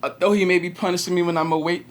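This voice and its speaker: An actor playing an anguished, regretful 21-year-old prisoner in a confessional stage monologue with dramatic pauses. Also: male voice, sits high, steady tone, lightly acted. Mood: wistful. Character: anguished 21-year-old male prisoner, former track star, bitter and regretful.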